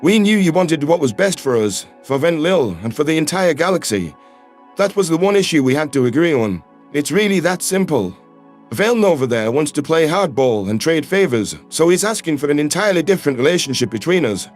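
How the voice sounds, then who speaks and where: A man's voice: on the high side, very animated, fairly quick, soft background music. A man acting a loyal human astronaut in a sci-fi audio drama, speaking plainly and firmly. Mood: firm, earnest. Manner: plain-spoken, firm. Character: loyal, frank human male astronaut and diplomatic envoy.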